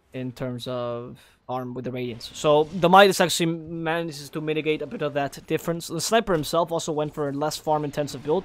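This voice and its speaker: A man calls an esports match play by play in a fairly high register. His voice is naturally low, but he keeps it lifted for the cast. He gets excitable and breaks into high shouts when the big plays land. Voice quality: naturally low voice kept higher for casting. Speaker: esports caster.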